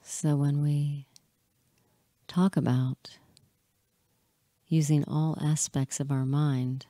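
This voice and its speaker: A woman leading a hypnotic guided meditation in a soothing, measured way, pausing often between short phrases. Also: deep voice, flat delivery, warm tone, no room sound, studio quality. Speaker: hypnotist guiding listeners.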